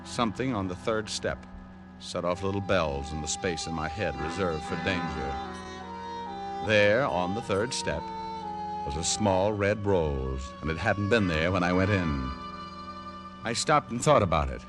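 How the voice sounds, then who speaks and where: An actor playing a flippant, unflappable American private detective in an old radio drama, with dry wit in dialogue and narration. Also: male voice, low-pitched, expressive, measured pace, over music. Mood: wry narration.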